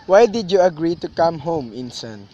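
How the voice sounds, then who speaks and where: Young man playing a high-voiced, earnest provincial cousin. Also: big pitch swings, moderate pace, warm tone, dull audio. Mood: probing. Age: young adult. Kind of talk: student radio drama acting. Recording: noisy amateur recording.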